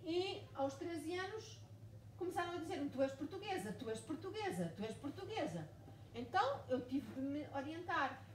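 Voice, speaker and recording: A woman's voice: high voice, sing-song, normal speed. A woman in her forties from Mozambique, a writer speaking at a live book event, telling her story emphatically with lots of repetition. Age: 40s. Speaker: author guest. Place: bookshop event room. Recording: echoey room, far mic, noisy.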